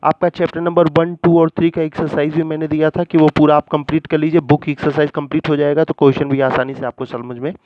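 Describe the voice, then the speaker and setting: A man's voice: a little high, level tone, quick pace, few pauses, no room sound. A man teaching a recorded school lesson in an instructional way, often checking understanding and mixing in English terms. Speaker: history teacher. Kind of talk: recorded school lesson, explaining exam questions. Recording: muffled, dull lo-fi audio.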